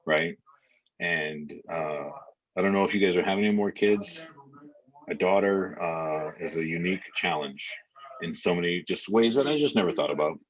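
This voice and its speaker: A man with a very low, deep voice talks through a story in a plain, matter-of-fact way. He keeps stopping and restarting his sentences, and "like" and "um" fill the gaps. The audio is slightly muffled and lo-fi, like a home podcast recording. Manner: rambling, halting, full of fillers and restarts. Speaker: podcast guest. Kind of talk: casual podcast conversation and storytelling.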